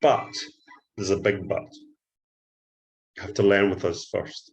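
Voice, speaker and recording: A Scottish man giving a trading tutorial, talking up his strategy in an excited salesman patter and often calling out "ladies and gentlemen.". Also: deep voice, measured pace, vocal fry, muffled recording. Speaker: forex trading instructor. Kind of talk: screen-share trading tutorial.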